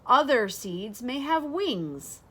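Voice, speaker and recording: Expressive woman reading a children's book, lively pitch. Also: slow pace, slightly rough. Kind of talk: read-aloud of a rhyming children's picture book. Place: small sunroom with large windows, indoors.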